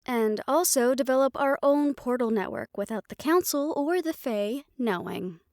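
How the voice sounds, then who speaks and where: A woman's voice: high voice, expressive, moderate pace, a little mellow, dry recording, studio quality. A woman playing a confident, scheming female magic researcher in a scripted fantasy audio roleplay. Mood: sly, scheming.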